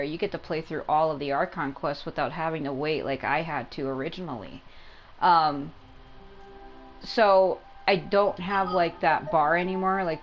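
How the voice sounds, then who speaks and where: A woman with a slightly low voice talks casually as she streams a video game, with faint music underneath. She rambles along conversationally, circling back over her points and leaning on them for emphasis. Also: poor audio. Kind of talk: casual gameplay commentary.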